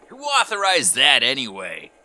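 A man's voice: mid-range voice, huge pitch swings, moderate pace, bright, forceful tone. A man playing in a tabletop game, bantering in a crass, wisecracking way.

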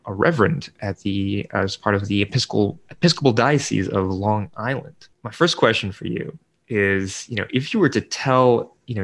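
A man hosting a podcast, introducing a guest and asking questions in a relaxed way, often saying "uh". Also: lowish voice. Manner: relaxed, with frequent "uh"s. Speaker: podcast host and interviewer.

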